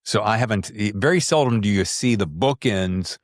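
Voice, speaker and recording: Low-voiced man hosting a chatty podcast. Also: expressive, moderate pace, dry recording, clean studio sound. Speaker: podcast host. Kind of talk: casual conversational book interview.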